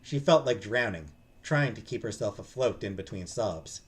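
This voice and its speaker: A man's voice: fairly low pitch, expressive, moderate pace. A man streaming a visual novel, reading the narration aloud in a dramatic storytelling style. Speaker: game streamer.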